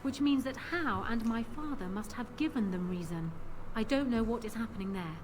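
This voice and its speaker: A woman's voice: fairly high pitch, normal speed, natural voice. A woman playing a proud, calculating young queen in a fantasy video game, speaking formally and with assertive composure. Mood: measured, suspicious. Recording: game audio with clear background noise.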